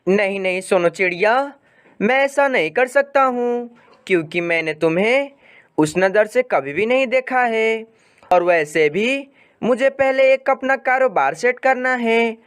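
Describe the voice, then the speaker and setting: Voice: medium pitch, lively intonation, measured pace, clean tone, natural voice. A narrator voicing a practical young male bird in a cartoon story, speaking in a firm, matter-of-fact manner. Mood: firm refusal. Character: practical young male bird, firmly refusing a friend's proposal.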